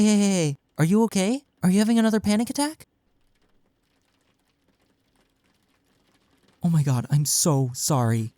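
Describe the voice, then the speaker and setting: A man playing a caring, frank young best friend in an intimate comfort audio roleplay, speaking in a soft, earnest, reassuring way. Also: fairly high pitch, no echo. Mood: alarmed, worried.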